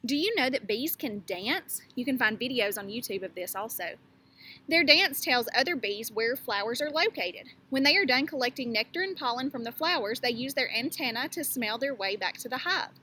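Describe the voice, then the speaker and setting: A woman teacher reading a picture book aloud to young children, pausing to explain things and ask them questions. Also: fairly high pitch, animated, bright, forceful tone.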